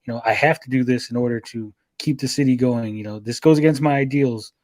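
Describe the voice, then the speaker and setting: A man on a podcast panel, making his case in a measured, explanatory way with pauses. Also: mid-range voice, fairly even intonation, a little mellow, dry recording. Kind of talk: casual discussion, making an argument.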